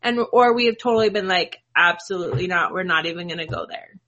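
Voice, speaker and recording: A woman's voice: lowish voice, fast, sharp tone, muffled recording. A woman chatting casually as a co-host in an informal group conversation. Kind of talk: casual chat.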